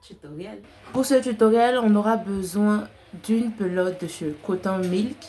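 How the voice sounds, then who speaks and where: A woman's voice: mid-range voice, a little slow, mellow. A young woman giving a casual, chatty crochet tutorial in an informal, colloquial way. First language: not French-only, has a self-described slight accent. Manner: informal, girlfriend-to-girlfriend address with colloquial tags.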